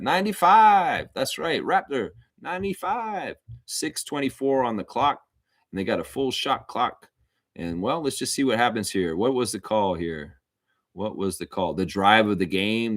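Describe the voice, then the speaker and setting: A man's voice: lowish voice, a bit bright, no room sound, studio quality. A man hosting a livestream and calling live basketball play-by-play, mixed with casual, opinionated fan chat to viewers. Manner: informal, opinionated fan talk. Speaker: host and amateur play-by-play commentator of a livestream watchalong.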